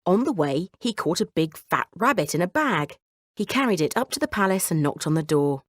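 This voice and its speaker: A woman's voice: lowish voice, big pitch swings, fairly quick, dry recording, studio quality. A woman narrating a scripted bedtime story for children as the storyteller.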